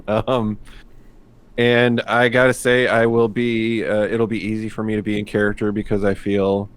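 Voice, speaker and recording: A man's voice: on the low side, flat delivery, moderate pace, smooth. A man chatting casually as a game player, informal and joking at his own expense. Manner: self-deprecating, joking, informal. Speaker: player on a tabletop game stream. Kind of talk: casual chat.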